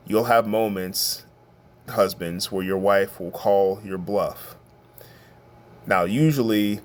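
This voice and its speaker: A middle-aged man with a deep, bassy voice giving an informal advice monologue, talking conversationally and stressing his points. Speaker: podcast host giving family advice.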